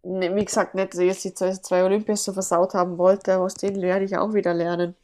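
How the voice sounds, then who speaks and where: A woman speaks with a congested, stuffy-nosed voice, as though she is getting over a cold. She talks in a rambling, casual way and often stops to correct herself mid-sentence. Her pitch sits in an ordinary middle range. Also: level tone, fast, a little mellow. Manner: rambling, frequent self-corrections. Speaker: athlete guest. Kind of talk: casual podcast interview.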